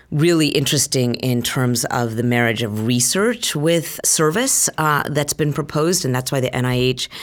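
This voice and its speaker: A middle-aged woman physician from Utah, answering interview questions in earnest, with long chains of points. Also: rumbling low. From Utah, United States. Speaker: physician and conference co-chair.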